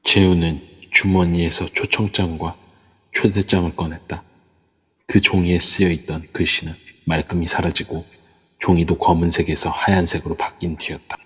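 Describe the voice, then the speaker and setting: Deep, husky male narrator. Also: flat delivery, fairly bright tone, in a large hall. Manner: measured storytelling. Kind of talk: scripted narration. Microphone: phone app mic.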